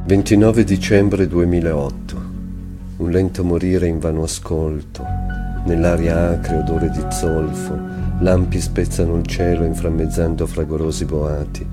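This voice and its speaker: Deep-voiced man reading over music. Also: little pitch movement, unhurried. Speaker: reader. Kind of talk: literary reading of a war diary. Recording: clear music behind the voice.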